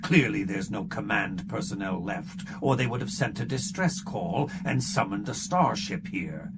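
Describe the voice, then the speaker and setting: A man plays a cold, logical alien lawman with a low, gravelly voice. He lays out deductions in a clipped, lecturing way in an audiobook. Loud noise sits under him. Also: expressive, measured pace. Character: stern, coldly logical male shapeshifter security chief.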